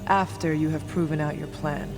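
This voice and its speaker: A man's voice: fairly high pitch, steady tone, moderate pace, natural voice. A man playing a solemn, resolute young hunter in a video game cutscene, with formal, stately phrasing. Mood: earnest. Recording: loud game music behind.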